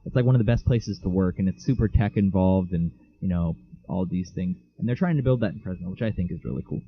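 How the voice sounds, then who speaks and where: A man's voice: low voice, quick pace. A teenage man co-hosting a casual podcast, rambling with lots of fillers. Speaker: podcast co-host. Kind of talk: casual chat. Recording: dull lo-fi mic.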